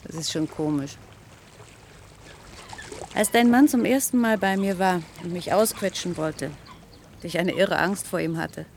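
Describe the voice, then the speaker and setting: A woman's voice: fairly low pitch, a little slow, long pauses, slight background noise. An actress playing a candid, wry woman in a radio crime drama, talking informally and intimately. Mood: wistful, wry. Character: candid, wry female translator, lover of the heir.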